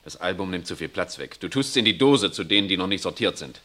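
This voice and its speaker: A man's voice: deep voice, expressive, fast, pushed and bright, exaggerated voice. An actor playing an irritable, pessimistic, bookish husband and father in a 1960s radio drama, gloomy and curt.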